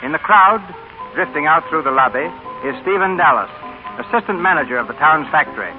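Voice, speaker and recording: A man's voice: on the high side, expressive, brisk. A man hosting and narrating a radio theatre programme in a formal, scripted 1930s broadcast style. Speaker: host and narrator. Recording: tinny old radio broadcast, music.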